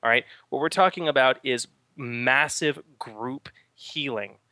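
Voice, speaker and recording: A man's voice: mid-range voice, unhurried, pushed and bright, dry recording. A man hosting a casual tabletop game podcast, explaining abilities with enthusiasm and jokes. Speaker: game master and podcast host.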